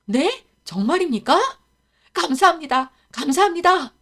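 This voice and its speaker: A woman's voice: on the high side, expressive, normal speed, pitched above the performer's own voice. A woman voicing a humble young stable hand who speaks politely in a storybook reading. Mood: overjoyed. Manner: polite, deferential.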